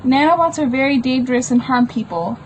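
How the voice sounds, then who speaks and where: A woman with a fairly high voice reads aloud informally, adding her own loose explanations as she goes. The delivery is plain and unpolished, and there is clear noise behind her. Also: fairly quick, low-quality recording. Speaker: comic book author reading own work.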